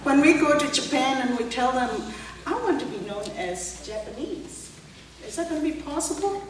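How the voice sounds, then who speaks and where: A woman's voice: high-pitched, expressive, normal speed, crowd noise. Woman in her sixties, echoey hall. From Burma. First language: Burmese. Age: 60s. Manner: anecdotal, laughing asides, rhetorical questions. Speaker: political candidate. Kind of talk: candidate forum answer. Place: museum event hall. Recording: echoey hall, distant mic, background noise.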